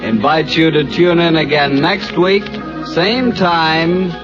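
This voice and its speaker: A man's voice: on the high side, takes it slowly. A man announcing an old radio drama, trading lines with the lead and reading the closing credits. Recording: muffled old radio recording, faint music.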